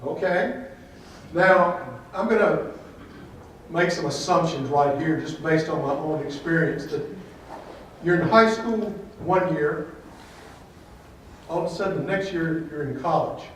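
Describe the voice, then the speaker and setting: Brief: older male moderator, fairly high voice, encouraging, roomy distant sound. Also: measured pace, pauses often. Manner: friendly, prompting. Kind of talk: moderating a panel. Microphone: handheld mic. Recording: echoey room from far off, faint noise.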